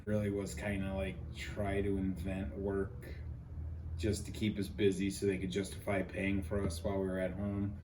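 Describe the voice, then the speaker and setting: A man in his forties answering interview questions in a relaxed, candid way with a few hesitations. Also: low voice, normal speed. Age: 40s. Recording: noisy, distant mic.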